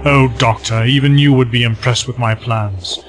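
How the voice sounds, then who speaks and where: A man's voice: mid-range voice, steady tone, normal speed, lightly acted. An actor playing a cold, commanding male villain in an audio drama, smug and menacing. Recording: music bed behind voices.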